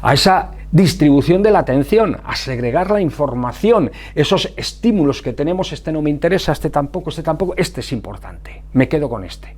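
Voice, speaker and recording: A man's voice: a little high, animated, normal speed, hardly any pauses, darkish tone. A man with an accent from Spain explaining things informally to viewers, didactic and emphatic, often answering his own questions. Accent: Spanish from Spain. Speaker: motorcycle safety vlogger.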